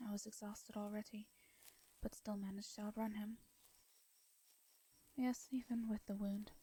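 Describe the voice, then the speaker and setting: Woman as a soft, mellow English vampire lady. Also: medium pitch, little pitch movement, unhurried, husky. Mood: uneasy, defensive. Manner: hesitant, stammering, formal old-fashioned speech. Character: gentle, anxious, centuries-old female vampire. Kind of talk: intimate fantasy audio roleplay.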